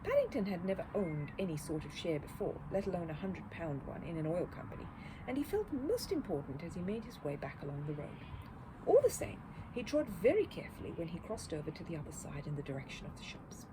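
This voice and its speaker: A woman reading a children's story aloud as an expressive narrator. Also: on the low side, fairly quick. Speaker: storybook reader. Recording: clear background noise.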